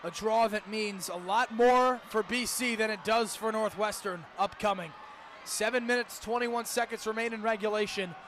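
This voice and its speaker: High-pitched young American male sportscaster. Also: a little hiss or hum. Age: young adult. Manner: emphatic, dramatic calls that swell into shouting. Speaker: student radio play-by-play broadcaster. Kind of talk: live lacrosse radio commentary. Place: stadium, calling games in person.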